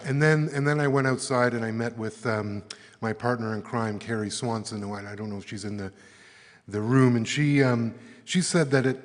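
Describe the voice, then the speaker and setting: Canadian man, low voice, long hall reverb, earnest, halting speech. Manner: earnest, halting, many fillers and restarts. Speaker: arts council chair giving closing remarks. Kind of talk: closing remarks at a summit. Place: large conference hall.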